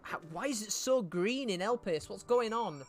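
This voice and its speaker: A British man streams a game and chats casually, his asides quick and giggly. His voice sits somewhat high, with faint music underneath. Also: animated. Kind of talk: casual gaming stream chat.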